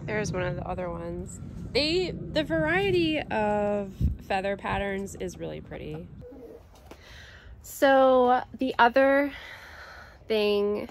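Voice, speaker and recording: A woman in her thirties giving a casual, explanatory homestead vlog, unhurried and pausing mid-sentence. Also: medium pitch. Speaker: homesteading vlogger. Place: outdoors in a covered chicken run and coop. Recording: outdoor ambient noise.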